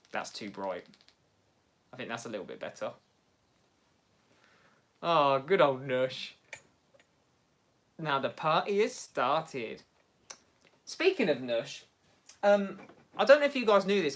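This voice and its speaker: A man with an English Midlands accent hosting a casual livestream from home, chatting excitably and laughing often as he answers viewers. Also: on the high side. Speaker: livestream host. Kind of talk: casual livestream chat reading viewer comments.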